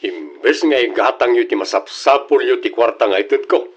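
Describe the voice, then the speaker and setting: A man's voice: mid-range voice, lively intonation, normal speed, husky. Man acting a stern son, slightly dull sound. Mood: frustrated, reproachful. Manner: admonishing, questioning. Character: stern, practical grown son, frustrated yet concerned. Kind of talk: scripted audio drama.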